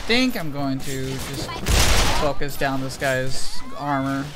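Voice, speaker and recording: A streamer giving casual live commentary on a game, talking in an excitable, slangy way with plenty of swearing. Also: mid-range voice, takes it slowly. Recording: loud game music behind. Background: video game music.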